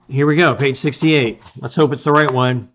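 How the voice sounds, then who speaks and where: Bright-voiced man reading a story aloud. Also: medium pitch, fairly even intonation, normal speed, lo-fi sound, slight background noise. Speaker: host reading a gamebook aloud. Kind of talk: dramatic storybook narration with casual asides.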